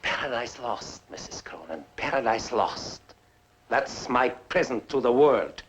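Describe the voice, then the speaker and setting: An actor playing a bitter fugitive inventor who taunts theatrically, in a 1950s film style. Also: male voice, medium pitch, lively intonation, natural voice. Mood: gloating. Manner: sardonic, theatrical, drawn-out taunting. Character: bitter, gloating fugitive inventor, a mocking villain. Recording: muffled, noisy 1950s film soundtrack.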